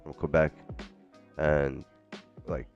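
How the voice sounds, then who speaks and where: A man with a very deep voice, raised in Brooklyn, hosts a live stream and chats loosely with his viewers. He drifts from thought to thought and fills gaps with "like." Faint music plays behind him. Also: level tone, slow pace, muffled recording. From Brooklyn, New York. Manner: rambling, chatty, says "like" often. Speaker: trading stream host. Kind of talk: casual live-stream chat. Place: home, living in Miami.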